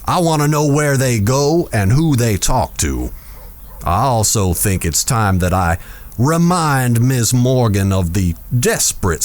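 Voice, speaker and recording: Man playing a slow, scheming Western town boss. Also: medium pitch. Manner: measured, self-assured, insinuating. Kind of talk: audio drama western acting.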